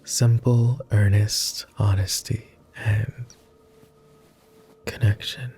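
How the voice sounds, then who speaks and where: Man voicing a deep, slow, tender vampire. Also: fairly even intonation, warm tone, slightly rough, heavily acted. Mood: wistful, earnest. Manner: tender, earnest, hesitant, somewhat formal speech. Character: devoted, world-weary immortal male vampire companion. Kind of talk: intimate roleplay ASMR voice acting.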